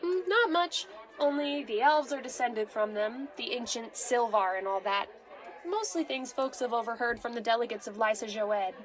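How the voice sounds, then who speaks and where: A woman's voice: a little high, normal speed, some chatter behind, natural voice, low-quality recording. A woman playing an older tavern keeper, motherly, teasing and chatty, in a fantasy audio drama. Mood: kindly.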